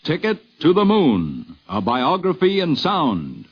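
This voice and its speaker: A man's voice: fairly high pitch, wildly swooping pitch, slow, breathy edge, dull audio. A man announcing formally in the style of a 1950s radio introduction, on a vintage broadcast recording.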